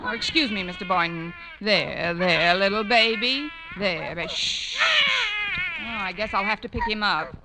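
A woman's voice: medium pitch, very animated, a little slow, over a noisy crowd. An actress playing a wisecracking female schoolteacher in a 1940s radio comedy, sardonic and arch. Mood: harried. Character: wry, wisecracking female schoolteacher with dry wit. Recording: very noisy old radio recording with clicks.

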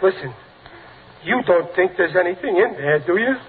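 A man's voice: high-pitched, animated, moderate pace. A male actor playing a cowardly, blustering middle-aged dad in old-time radio comedy, nervous and hesitant. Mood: flustered. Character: jumpy, cowardly, blustering working-class dad. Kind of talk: 1940s-50s radio comedy acting. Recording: noisy, tinny old radio broadcast.

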